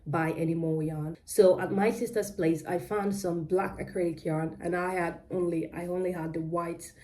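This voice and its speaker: A young Nigerian woman with a British-leaning accent talks in a loose, rambling way, often pausing on ums and correcting herself mid-sentence. Her voice sits a little low and sounds mellow and warm. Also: fairly even intonation. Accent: Nigerian with British-influenced English. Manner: rambling and talkative, many ums and self-corrections. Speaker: crochet vlogger. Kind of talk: casual chatty vlog.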